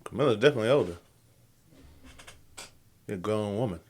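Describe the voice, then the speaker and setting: A man's voice: on the low side, lively intonation, a little fast, a little mellow, slightly rough. A man giving casual, slangy reaction commentary as the host of the video. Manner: colloquial, slangy.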